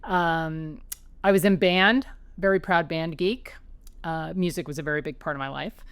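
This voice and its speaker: Smooth-voiced woman telling anecdotes. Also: low-pitched, moderate pace, no room sound. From New York area, raised as an Army child. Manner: chatty, anecdotal, with frequent restarts. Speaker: author guest. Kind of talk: conversational interview. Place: her home.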